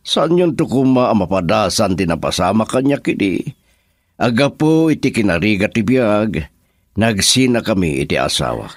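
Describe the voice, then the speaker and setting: A man's voice: lowish voice, sing-song, moderate pace, no room sound, studio quality. An actor playing an older, encouraging businessman boss in an Ilocano radio drama. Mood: reflective, advising.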